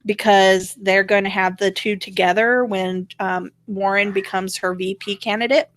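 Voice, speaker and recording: An American woman co-hosts a casual political chat with an irreverent, opinionated manner. Her pitch sits in the middle for a woman, and the sound is dry, with very little room in it. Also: fairly even intonation, moderate pace, no echo. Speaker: podcast co-host.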